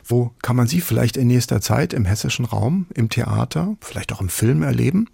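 A man's voice: medium pitch, expressive, normal speed, vocal fry, mellow, husky, no room sound, studio quality. A man hosting a radio culture talk, putting questions to a guest in a conversational way.

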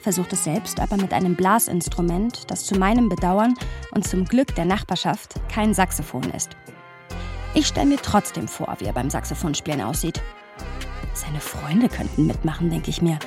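A woman's voice: lowish voice, expressive, moderate pace, music in the background, lightly acted. An actress playing a wry, playful young woman who narrates memories of a friend in an intimate radio play style.

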